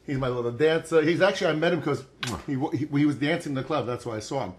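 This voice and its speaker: A man's voice: on the high side, fast, a little hiss or hum. Chatty man hosting a home cooking show. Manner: chatty, affectionate, joking. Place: home kitchen.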